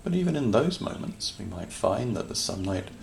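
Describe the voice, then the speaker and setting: A man leading a guided meditation in a calm, soothing manner, leaving long pauses between short phrases. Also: fairly low pitch, vocal fry, a little hiss or hum. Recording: nature ambience with birdsong behind voice. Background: natural sounds, birds.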